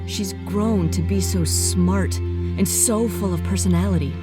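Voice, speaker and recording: A woman acting a gentle, reassuring late wife and mother in an audio drama, speaking tenderly. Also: medium pitch, unhurried, over loud music, close to an everyday voice. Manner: tender, soothing.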